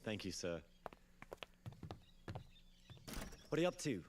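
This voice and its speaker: An actor playing an earnest, cocky young wizard in a fantasy audio drama, casual and coaxing. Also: male voice, lowish voice, sing-song, very fast, a little hiss or hum. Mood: polite. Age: young adult.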